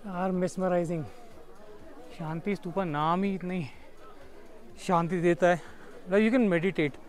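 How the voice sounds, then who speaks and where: A high-voiced young man chats away as he walks around outdoors, recording himself on a clip-on mic. He drops English words into a casual travel vlog. The chatter of a crowd hums behind him. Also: steady tone, slow pace. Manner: chatty, mixes English words into his talk. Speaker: travel vlogger. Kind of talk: casual vlog chat while walking. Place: outdoors in a busy town market.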